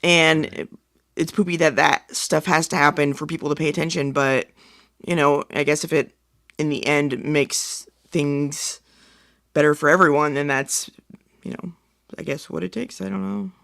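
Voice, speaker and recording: A woman's voice: low voice, steady tone, normal speed, gravelly, a bit bright, dry recording, clean studio sound. A woman co-hosting a podcast, chatting casually in an informal, tentative manner. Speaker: podcast co-host. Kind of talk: casual review chat.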